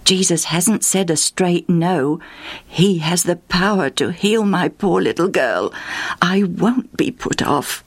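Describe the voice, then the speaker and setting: A woman's voice: mid-range voice, expressive, a little slow, no room sound, voice pushed up. A woman voicing an eager, desperate mother who pleads urgently for her sick daughter.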